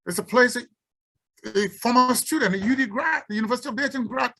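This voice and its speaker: A woman's voice: a little high, quick pace, pushed and bright. A woman from Cameroon with a Cameroonian accent, giving an unscripted symposium talk and taking questions, often stumbling and repeating words. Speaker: symposium speaker and researcher.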